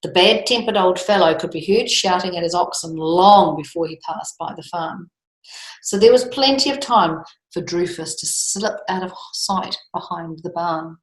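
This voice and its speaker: A woman storyteller reading a children's book aloud with expressive, lilting emphasis. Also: lowish voice, normal speed. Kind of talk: storybook read-aloud with chatty asides. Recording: echoey room.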